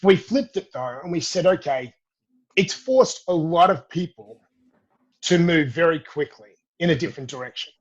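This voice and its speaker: A man's voice: on the high side, animated, normal speed, lo-fi sound. A middle-aged Australian man with an Australian accent, a fitness educator answering interview questions in an upbeat, friendly way. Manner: upbeat, calls the host mate. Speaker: podcast guest, fitness educator.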